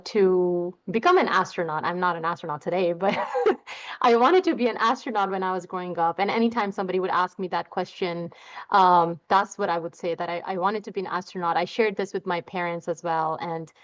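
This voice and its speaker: Interview guest: young woman from pakistan, halting delivery, smooth tone, muffled lo-fi sound. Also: on the low side. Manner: halting, many fillers and repeated words. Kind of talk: personal story in an interview.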